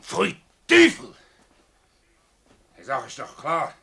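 A man's voice: a little high, huge pitch swings, slow pace, creaky, fairly bright tone, full character voice. A man acting a hot-tempered, indignant village farmer with a Swiss German accent, blustering and cursing in a radio drama. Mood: furious.

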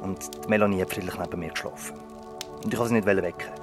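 A man's voice: low voice, steady tone, quick pace, music in the background, natural voice. An actor playing a sleepless, embarrassed young man, defensive among his friends, in naturalistic radio play dialogue. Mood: subdued, confiding.

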